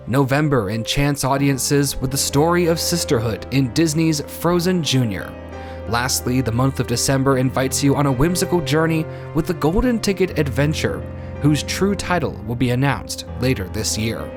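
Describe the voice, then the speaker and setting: A man announcing and narrating a radio drama in a formal, theatrical scripted style. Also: mid-range voice.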